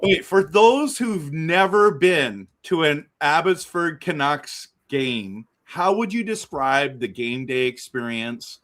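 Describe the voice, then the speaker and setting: A man's voice: a little high, expressive, slow pace, few pauses, a bit bright. A man hosting a fan interview, asking questions as an enthusiastic fan who laughs easily. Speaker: host of a fan channel, interviewer.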